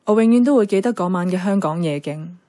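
An actress playing a caring, affectionate and slightly evasive niece in a Hong Kong Cantonese radio drama, conversational and soft-hearted. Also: female voice, fairly low pitch, quick pace, lightly acted. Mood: wistful.

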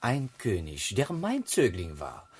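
Low, very animated royal tutor. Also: male voice, slow, a little hiss or hum, exaggerated voice. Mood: admonishing. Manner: courteous, gently admonishing. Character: prim, deferential tutor to a young prince. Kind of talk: German radio play acting.

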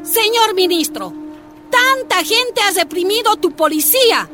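A defiant high-voiced miners' leader. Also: female voice, expressive, measured pace, music in the background. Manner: forceful, demanding, indignant. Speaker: lead character.

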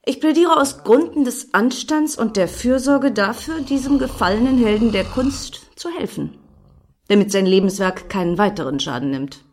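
An actress playing a scheming, grandly solemn woman in a satirical radio play, speaking in deliberate, lofty phrases. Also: on the high side, close to an everyday voice. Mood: solemn, purposeful.

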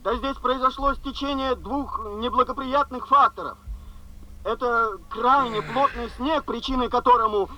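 An actor playing a flustered, apologetic railway official with halting, bureaucratic speech in a radio drama. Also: male voice, very high voice, bright, forceful tone, a little hiss or hum, exaggerated voice, poor audio. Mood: flustered, excusing. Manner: hesitant, halting, bureaucratic wording. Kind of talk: 1970s Soviet radio drama acting.

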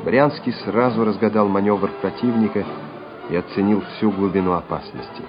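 A man's voice: on the low side, normal speed. A man narrating a literary radio play in a dramatic storytelling style. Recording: dull lo-fi radio recording over music.

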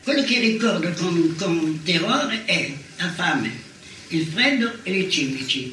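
A woman's voice: fairly low pitch, fairly bright tone. An older woman recalling the past in oral testimony, repeating and rephrasing her phrases as she goes. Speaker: former partisan giving testimony. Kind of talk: oral history testimony, recollection. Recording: roomy, distant mic with faint noise.

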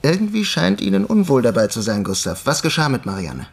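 An actor playing an inquisitive lieutenant in a radio drama, polite and gently probing. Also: male voice, medium pitch, very animated, fairly quick, creaky. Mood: curious, probing.

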